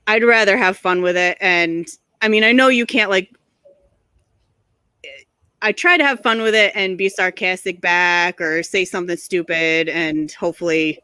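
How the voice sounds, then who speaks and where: Bright, dry-voiced woman chatting and laughing. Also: fairly low pitch, moderate pace, smooth, dry recording. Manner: laughs often, sarcastic, filler-heavy storytelling. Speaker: guest, motorcycle video creator. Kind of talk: casual livestream chat. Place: home garage.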